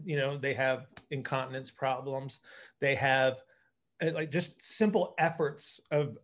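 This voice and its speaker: A middle-aged man with a slightly raised voice coaches a group over a video call. He explains technical material informally, often doubling back on words as he works through the idea. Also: fairly high pitch. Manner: halting, repeats words as he thinks aloud. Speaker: coach and physical therapist hosting a group call. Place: indoor room at home, group video call.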